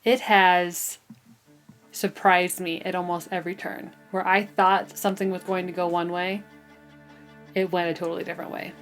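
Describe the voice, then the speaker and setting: A woman's voice: fairly low pitch, little pitch movement, moderate pace, soft background music. A woman co-hosting a book podcast in casual chat, often questioning and checking in with her co-host.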